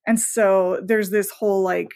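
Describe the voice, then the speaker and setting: A woman's voice: medium pitch, steady tone, unhurried, a little mellow. A woman talking casually as a podcast guest, informal and effusive, with emphatic asides. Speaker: podcast guest, romance novelist. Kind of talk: casual, chatty podcast interview.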